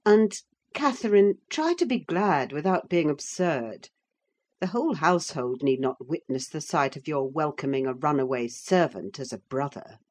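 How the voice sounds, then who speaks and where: A woman reading a story in the part of a peevish, disdainful gentleman husband, clipped and scornful. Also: deep voice, animated, moderate pace. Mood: stern. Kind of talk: dramatised audiobook reading.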